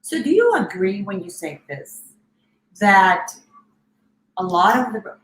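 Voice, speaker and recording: A woman's voice: medium pitch, sing-song, takes it slowly, a bit bright. A woman hosting an interview, earnest and affirming, often echoing her guest's words. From Latin America. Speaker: interview host. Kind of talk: conversational interview.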